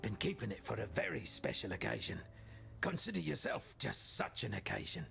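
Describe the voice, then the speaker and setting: A man voicing a wry, self-satisfied camp poet in a video game, gravelly and grandiose, with faint music behind. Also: on the low side, big pitch swings, normal speed, bright, forceful tone, exaggerated voice. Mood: wry, pleased.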